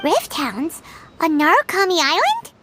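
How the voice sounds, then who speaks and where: Very high childlike fairy, animated intonation, cheeky, excitable, video game sidekick, music behind. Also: female voice, unhurried, exaggerated voice. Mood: curious. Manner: exclamatory, bubbly.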